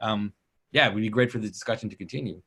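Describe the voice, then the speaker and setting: A man's voice: fairly low pitch, quick pace, pushed and bright, breathy edge. A man from Quebec with a French Canadian accent, French his first language, talking things through in a thoughtful, hesitant way with many restarts. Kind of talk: casual conversation.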